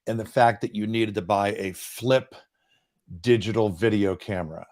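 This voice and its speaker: A man in his sixties, a business coach, telling his story in an earnest, self-deprecating way on a boom mic. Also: normal speed, a little mellow. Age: 60s. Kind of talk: casual interview chat. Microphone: boom microphone.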